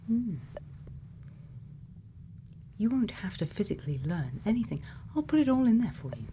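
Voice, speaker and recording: A woman playing a gentle, quietly kind scientist in a soft, often whispered ASMR roleplay, soothing and reassuring with many pauses. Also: on the high side, very animated, slight background noise. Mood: gently curious. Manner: slow, soothing, pausing, reassuring. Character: gentle female scientist, quietly kind, programming a robot friend.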